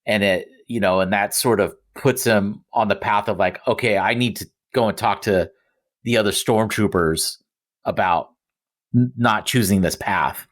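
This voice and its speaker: A man chatting casually and giving his opinions as a podcast panelist, with somewhat halting phrasing. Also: fairly low pitch.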